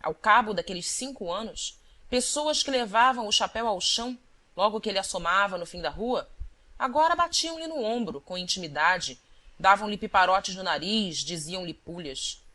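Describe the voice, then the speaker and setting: A woman reading scripted literary narration as an audiobook narrator, with a measured, deliberate storytelling delivery. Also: mid-range voice, expressive, bright, forceful tone.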